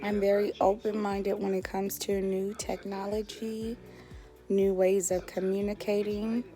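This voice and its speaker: Woman, medium pitch, casual interview opinion, clear background music. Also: little pitch movement, unhurried.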